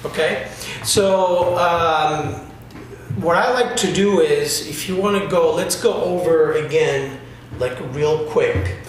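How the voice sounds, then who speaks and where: Chatty drum teacher in an echoey room. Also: male voice, on the high side, slow. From Italy. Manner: chatty, explanatory, lots of fillers. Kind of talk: casual interview answers. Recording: echoey room, distant mic, noisy.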